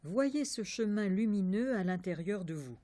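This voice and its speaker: A woman leading a guided yoga meditation, giving calm, measured instructions with pauses between phrases. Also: mid-range voice, dry recording. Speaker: yoga nidra meditation teacher.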